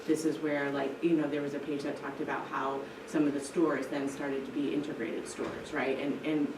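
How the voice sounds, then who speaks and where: A woman's voice: extremely low pitch, level tone, moderate pace. An American woman giving coaching feedback as a teacher educator, often checking in with "right?". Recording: roomy, distant mic, clear background noise.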